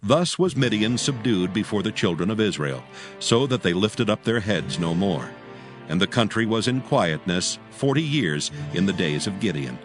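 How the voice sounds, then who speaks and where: Guy w a deepish voice doing dramatic bible narration, solemn, lively up n down, music behind. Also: moderate pace. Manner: solemn, archaic storytelling delivery. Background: clear background music.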